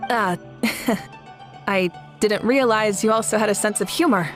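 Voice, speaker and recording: A woman playing a courteous, composed young leader in a video game, speaking politely in a measured, formal way. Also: mid-range voice, faint music behind, lightly acted. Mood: politely amused.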